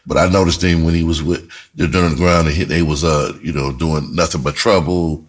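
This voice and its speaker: A man with a deep, rough-edged voice chats as a storyteller. His delivery is casual and animated, peppered with swearing and slang, and he circles back to repeat phrases for emphasis. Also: fairly quick. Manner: breaks into laughter often while talking. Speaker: screenwriter and performer telling his own story.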